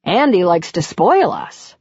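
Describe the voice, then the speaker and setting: A woman voicing an easygoing older man in an audiobook, speaking in a slow, amused way. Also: fairly low pitch, huge pitch swings, gravelly, a little mellow, breathy edge, no room sound, full character voice. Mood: teasing. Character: Bob, older ordinary man, easygoing and teasing. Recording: dry, dull lo-fi audiobook recording.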